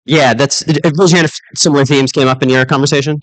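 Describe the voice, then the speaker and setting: A man's voice: mid-range voice, steady tone, fast, no echo. A man giving a conversational keynote to a room of teachers, talking casually with fillers. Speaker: keynote speaker. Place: conference room.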